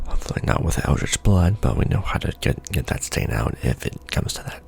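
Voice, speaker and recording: A man performing an ASMR roleplay as a seasoned, wry secret-society official, talking softly and casually with a gravelly, breathy undertone. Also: low-pitched, animated, slight background noise. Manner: wry, casual, unhurried explaining. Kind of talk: ASMR roleplay monologue, soft conversational briefing.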